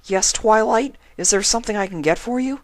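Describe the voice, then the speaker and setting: Young male dragon , played by a man: storybook character, eager helper, excitable, raised pitch. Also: fairly quick, no room sound, lightly acted. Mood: helpful, worried. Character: eager eighteen-year-old baby dragon, helpful and cheerful.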